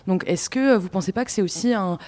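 A woman's voice: medium pitch, fast, a little mellow. A woman putting a formal spoken question to the guests of a panel discussion.

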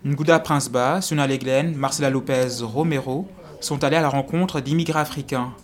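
A man narrating a radio documentary in a measured, soft delivery. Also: medium pitch, steady tone.

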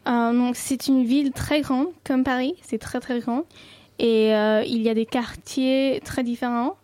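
A woman's voice: on the high side, steady tone, measured pace, dark tone, no room sound. A nineteen-year-old woman from India whose first language is Sindhi, answering interview questions hesitantly with many fillers. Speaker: guest.

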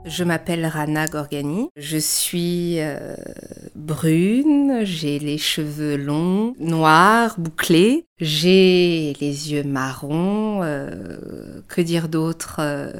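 A woman in her early thirties giving a reflective personal account, pausing often as she searches for her words. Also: sits low, clean tone. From France. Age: early 30s. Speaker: dancer and choreographic artist. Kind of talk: reflective personal interview narration.

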